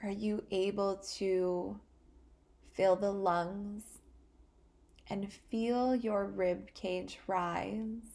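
A woman hosting a guided relaxation meditation, speaking calmly and soothingly in short phrases with long pauses. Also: mid-range voice. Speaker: guided meditation podcast host.

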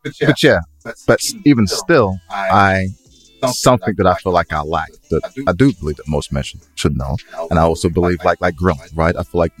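A man's voice: deep voice, moderate pace, soft background music. A man co-hosting a podcast, debating casually in a playful, insistent manner. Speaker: podcast co-host.

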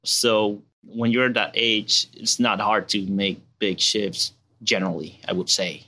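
A man in his late twenties with a Spanish and Venezuelan accent, a relaxed guest chatting casually outdoors. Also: fairly low pitch, measured pace. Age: 20s. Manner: laid-back, relaxed and chatty, calls people man.

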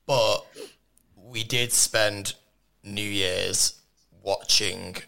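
Hoarse low-voiced man hosting radio. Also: fairly even intonation, very slow, pushed and bright. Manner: laughs often, teasing. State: hoarse, lost voice. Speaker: radio host. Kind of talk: casual radio chat. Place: radio studio. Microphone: studio microphone.

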